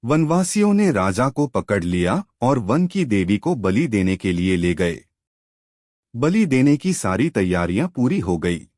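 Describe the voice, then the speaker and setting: A man narrating a moral story in a slow, measured way, ending with a lesson for the listener. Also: on the low side, big pitch swings, a little mellow, no echo, studio quality.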